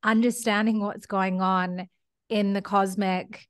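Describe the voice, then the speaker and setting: A woman's voice: mid-range voice, little pitch movement, takes it slowly, smooth. Chatty Australian woman hosting. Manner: enthusiastic, chatty, many "like" fillers. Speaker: podcast host. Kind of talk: casual interview chat.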